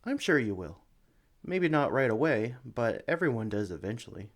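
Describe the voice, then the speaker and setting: Man playing an excitable, lively-pitched sanctuary employee. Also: moderate pace. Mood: instructive, friendly. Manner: chatty, eager, apologetic, with hesitations. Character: excitable, friendly young male sanctuary employee training a newcomer. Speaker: experienced employee showing a new recruit around. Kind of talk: ASMR roleplay, one-sided conversation with the listener.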